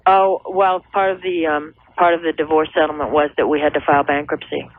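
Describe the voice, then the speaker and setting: A woman's voice: deep voice, flat delivery, fairly quick, a little hiss or hum. An American woman in her thirties calling a radio advice show, telling her personal account in an earnest, hesitant, conversational way. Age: 30s. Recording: tinny phone line on old radio tape.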